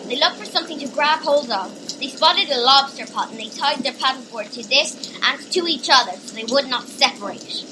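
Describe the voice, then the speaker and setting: A woman's voice: sits high, expressive, a little fast, few pauses. A woman reading a scripted story as narrator. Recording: noisy amateur home recording.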